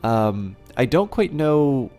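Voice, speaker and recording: A man hosting a live game stream in casual chat, his voice slightly rough after being sick, talking in a halting, rambling way as he thinks aloud and greets viewers. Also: mid-range voice, very animated, dark tone, soft background music. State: getting over a recent illness.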